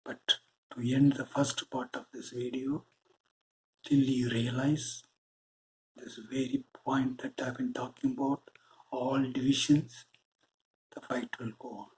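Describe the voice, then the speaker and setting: A man with an Indian English accent, giving a slow, earnest spiritual monologue with deliberate pauses. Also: mid-range voice, level tone, slight background noise, rough recording. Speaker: spiritual channel host.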